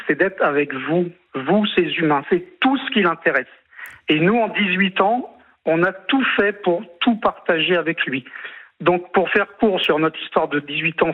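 A man in his fifties from Martinique and French Guiana, calling in to a radio show and talking earnestly and tenderly. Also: high voice. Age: 50s. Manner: earnest, tender, rambling with restarts. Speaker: radio caller. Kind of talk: phone-in chat. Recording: tinny phone line.

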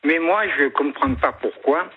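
A man's voice: a little high, a little slow, slightly rough. Marseille man calling in by phone. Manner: chatty, hesitant. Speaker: caller. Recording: phone line.

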